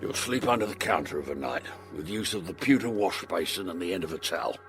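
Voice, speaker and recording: An actor playing a menacing, suspicious shopkeeper in a period audio drama, curt and domineering. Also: male voice, low voice, expressive, quick pace, music in the background. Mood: grudging, sly. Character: menacing, suspicious male barber shopkeeper hiring a boy.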